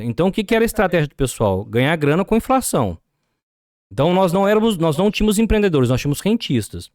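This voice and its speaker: A man's voice: a little high, no echo, clean studio sound. A Brazilian man with a Brazilian Portuguese accent, a podcast guest explaining business ideas and asking rhetorical questions.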